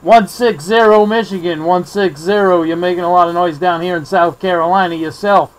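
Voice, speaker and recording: American man, high voice, courteous CB operator handing out call signs and sign-offs, slight background hiss. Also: fairly even intonation, fairly quick. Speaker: CB radio operator, video maker. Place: indoor radio desk.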